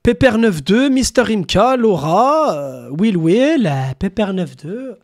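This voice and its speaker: A young man doing a casual live stream of crude prank calls, animated, slangy and prone to swearing. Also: high-pitched, takes it slowly. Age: young adult. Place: small room at home.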